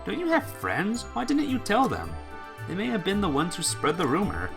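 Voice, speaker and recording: A woman's voice: medium pitch, huge pitch swings, normal speed, vocal fry, over music, pitched above the performer's own voice. A woman voicing a blunt, exasperated teenage schoolboy from a visual novel game with brusque, challenging delivery.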